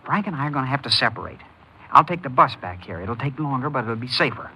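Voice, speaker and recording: An actor playing a cocky, jittery young robber and addict in an old crime radio drama, with an American accent and a restless, insistent delivery. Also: male voice, mid-range voice, big pitch swings, fast talker, bright, forceful tone, slightly rough, heavily acted, low-quality recording. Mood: insistent, reassuring.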